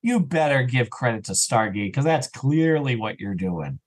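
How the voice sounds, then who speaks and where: A man's voice: mid-range voice, animated, normal speed. A man chatting casually as an interview guest, telling stories and acting out imagined remarks as he goes. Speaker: podcast guest, audio drama creator.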